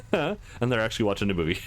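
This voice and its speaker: A man's voice: on the low side, big pitch swings, fast talker, a bit bright. A man hosting a visual novel let's play, reading aloud with some commentary of his own over music.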